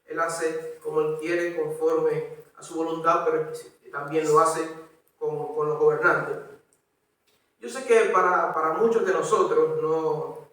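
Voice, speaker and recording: A man's voice: fairly high pitch, fairly even intonation, measured pace, fairly bright tone. A man with a Cuban accent preaching an expository sermon, often repeating words as he rephrases. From Cuba. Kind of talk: expository sermon with scripture reading. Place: church hall. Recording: echoey room, distant microphone.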